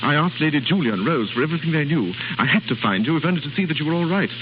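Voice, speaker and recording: An actor playing an earnest, bashful, lovestruck lord in 1930s radio drama style, halting and polite. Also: male voice, fairly high pitch, animated, natural voice. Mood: relieved, earnest. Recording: muffled, noisy 1930s radio broadcast with hum.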